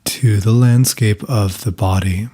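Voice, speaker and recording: Slow, husky-voiced man guiding meditation. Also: lowish voice. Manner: soft, calm, unhurried instruction with long pauses. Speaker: meditation guide. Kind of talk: guided meditation.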